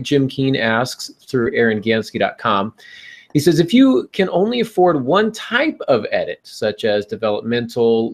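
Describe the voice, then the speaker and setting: A man's voice: fairly low pitch, vocal fry. A man hosting a podcast, talking casually and giving advice to listeners. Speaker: podcast host.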